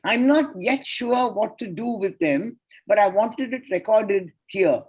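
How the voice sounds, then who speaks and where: A woman whose first language is Tamil, presenting an academic paper at an online conference in a measured, deliberate way. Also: mid-range voice, expressive, a little mellow, slightly rough, low-quality recording. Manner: measured, deliberate lecturing with enumerated points.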